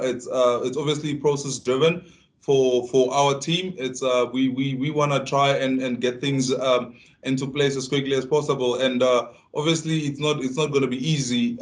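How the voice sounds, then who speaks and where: A South African man, Tsonga by first language, answering at a press conference as a rugby player. His pitch barely moves and his delivery stays level. He stumbles and restarts often, repeating words as he searches for them.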